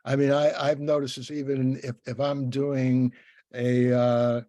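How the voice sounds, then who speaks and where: An older man hosting a casual interview, talking in a halting, rambling way with many restarts. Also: mid-range voice, flat delivery, mellow, dry recording. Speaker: interviewer, podcast host.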